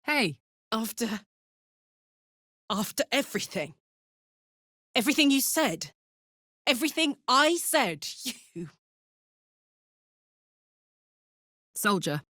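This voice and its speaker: A woman's voice: a little high, big pitch swings, slow pace, pauses often, pushed and bright, dry recording, exaggerated voice. Casting: a female game warrior, brash yet big-hearted, plain-spoken and earnest, taking her time, recorded close and dry. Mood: tender. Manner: earnest, blunt, slangy and informal. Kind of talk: video game voice acting.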